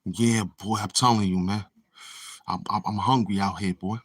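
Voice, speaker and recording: A man's voice: on the low side, fairly even intonation, normal speed, fairly bright tone, breathy edge. A man hosting his own channel in a boastful, taunting livestream monologue, swaggering and repeating himself. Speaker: YouTube channel host.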